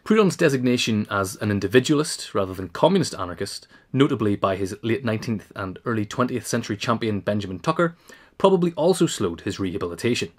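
A man's voice: lowish voice, expressive, fast. A man reading scripted narration, as in an audio essay. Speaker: reader.

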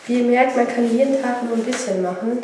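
A woman's voice: a little high, fairly quick, mellow, slight background noise, rough recording. A young woman with a cold gives a casual tour of her family home, walking from room to room as she films herself on a handheld camera. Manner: chatty, walking and pointing things out. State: has a cold. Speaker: family vlogger. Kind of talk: casual house tour vlog. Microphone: handheld wide-angle action camera at arm's length.

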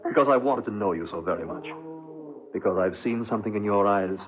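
An actor playing an ardent, teasing suitor, flirtatious and insistent, in 1940s radio style. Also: male voice, on the low side, animated, fairly quick, lightly acted. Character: ardent, teasing suitor wooing a married woman. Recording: dull, muffled 1940s radio recording.

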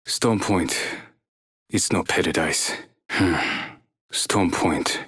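Low, husky, brooding hacker. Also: male voice, extremely slow, fairly bright tone, exaggerated voice. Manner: terse, subdued.

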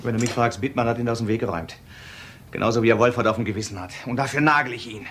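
An actor playing a dogged, impatient police detective in a German crime radio drama, pressing with clipped questions. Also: male voice, medium pitch, racing, fairly bright tone, close to an everyday voice. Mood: determined. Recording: radio drama with background noise.

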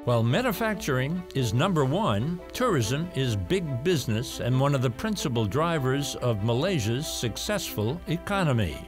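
A man giving scripted documentary narration in a measured, listing style. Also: mid-range voice, big pitch swings, music in the background.

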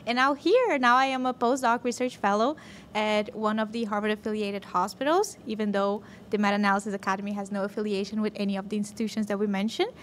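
A woman's voice: mid-range voice, steady tone, fast, a bit bright, slight background noise. A woman, talking informally and playfully as a podcast guest. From Brazil. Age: 20s. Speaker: podcast guest, physician and researcher. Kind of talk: casual podcast chat. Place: podcast studio, indoors. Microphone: desk microphone on boom arm.

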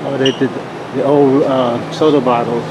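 A man's voice: mid-range voice, level tone, normal speed, very noisy. Man murmuring while filming a diner. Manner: mumbled asides while walking around. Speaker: vlogger. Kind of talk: casual vlog commentary. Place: busy indoor diner with music playing. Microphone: phone held at arm's length. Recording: loud background music.

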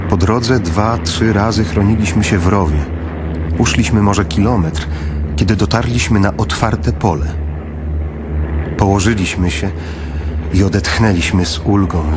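An actor playing a grave father who narrates in the first person in a radio play, measured and full of pauses. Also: low voice. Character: somber father narrating his family's wartime flight.

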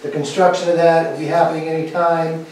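A man's voice: on the high side, flat delivery, a little fast, darkish tone, very echoey, a little hiss or hum. A man standing in a small meeting room, reading a report to a town board with fillers and restarts, recorded by a far camera. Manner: reads updates from paper, with fillers. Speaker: state representative briefing a town board.

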